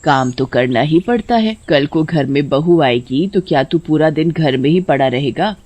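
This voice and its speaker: A woman's voice: sits low, animated, normal speed, darkish tone, pitched below the performer's own voice. A woman voicing an old village mother in a moral story, wearily scolding and nagging. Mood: exasperated.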